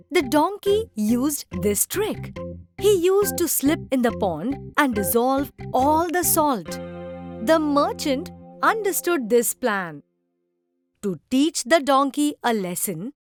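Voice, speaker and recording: A woman narrates fairytales for children in a high voice. Her delivery is slow and simple, with very animated rises and falls. It is a scripted storytelling read. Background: background music.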